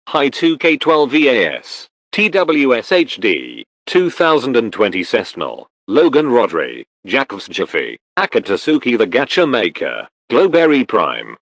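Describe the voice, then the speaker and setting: A man's voice: fairly low pitch, fairly bright tone, dry recording. A man playing an official military announcer reading a formal emergency message.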